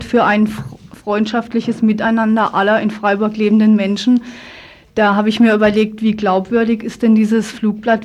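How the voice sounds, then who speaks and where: A woman presents a political interview on the radio. Her delivery stays quite even and flat in melody. She speaks informally, with a probing edge. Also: medium pitch. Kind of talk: live political radio interview, reading out and questioning.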